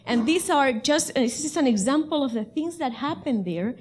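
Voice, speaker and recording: A middle-aged Cuban woman speaks in accented, second-language English. Her voice sits a little high, and her delivery is earnest and personal, touched with pain. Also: animated, moderate pace, a little mellow. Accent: Cuban Spanish. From Cuba. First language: Spanish. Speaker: audience member. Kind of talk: public comment at a panel Q&A.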